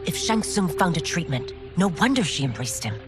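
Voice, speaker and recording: An actress playing a proud former royal guard turned constable chief in a game cutscene, speaking formally and earnestly with firm resolve. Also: female voice, on the low side, sing-song, moderate pace. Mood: guarded, skeptical. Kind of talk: dramatic video game cutscene acting. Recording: orchestral game music behind.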